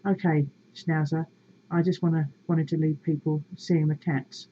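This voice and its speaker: A woman reading a story aloud in a measured, expressive and wry way, with casual asides to her viewers. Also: deep voice, lo-fi sound, slight background noise.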